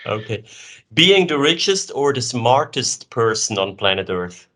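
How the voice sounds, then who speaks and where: A man puts questions in a sports interview. His voice is bright and crisp-edged at a middle pitch, and his delivery is conversational. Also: very animated, measured pace. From Switzerland.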